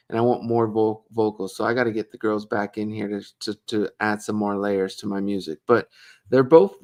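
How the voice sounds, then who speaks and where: A middle-aged man talks through a live question-and-answer stream, loose and chatty. He reads viewer comments aloud and riffs on them with easy jokes, his voice sitting at an ordinary pitch for a man. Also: a little mellow. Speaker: podcast co-host reading live comments. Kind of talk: casual live Q&A chat.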